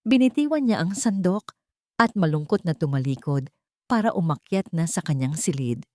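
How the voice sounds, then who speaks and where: A woman's voice: fairly low pitch, expressive, normal speed, dark tone, no echo. A woman storyteller giving a dramatic scripted narration, recorded close as a voice-over.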